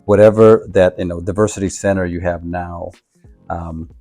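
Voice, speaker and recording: A man interviewing a guest, asking halting questions full of fillers and giving frequent backchannels. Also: low voice, steady tone, warm tone. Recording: faint background music.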